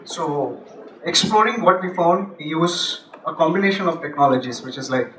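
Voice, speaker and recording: A young man giving a technical talk at a meetup, halting with many restarts and fillers, on a handheld mic in a large office room. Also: fairly high pitch, sharp tone. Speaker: conference speaker. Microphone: handheld mic, far camera. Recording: echoey room from far off, noisy.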